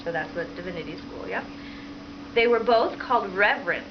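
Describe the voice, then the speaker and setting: A woman's voice: medium pitch, animated, brisk. Woman teacher reading aloud, dull noisy recording. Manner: prompting, question-filled, teacherly. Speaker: primary school teacher. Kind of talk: reading a picture book aloud to children, stopping to question them. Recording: dull lo-fi sound with clear background noise.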